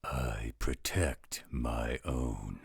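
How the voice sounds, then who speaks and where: Man voicing a very deep, raspy, gruff werewolf guardian. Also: dry recording, studio quality, heavily acted. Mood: protective. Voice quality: very low, husky rasp. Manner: slow, blunt, coarse-spoken. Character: gruff protective male werewolf guardian, blunt and defiant. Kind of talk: audio roleplay drama acting.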